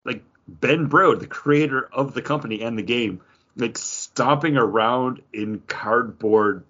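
A man's voice: mid-range voice, unhurried, pushed and bright, dull audio. A man hosting a casual podcast chat about games, talking in an enthusiastic, rambling way. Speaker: podcast host.

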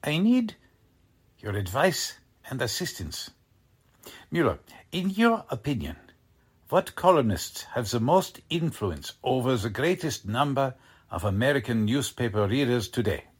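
A man's voice: medium pitch, sing-song, slightly rough. Man acting smug, scheming Nazi spymaster, swooping delivery. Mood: probing, businesslike. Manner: courteous, deliberate, self-satisfied. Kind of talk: 1940s radio drama acting.

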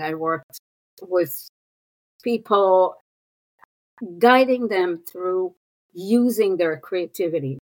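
Slow, low-voiced woman in her sixties. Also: poor audio. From Israel. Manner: unhurried, thoughtful, with pauses and fillers. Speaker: guest, psychotherapist. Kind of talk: reflective interview talk.

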